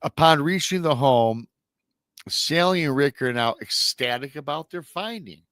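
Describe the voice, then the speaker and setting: A man's voice: fairly high pitch, lively intonation, unhurried, a bit bright, no room sound. A middle-aged man hosting a live show, retelling a strange encounter story in a chatty way, sounding nasal and a little scratchy from a lingering illness. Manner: chatty asides to viewers. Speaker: paranormal show host.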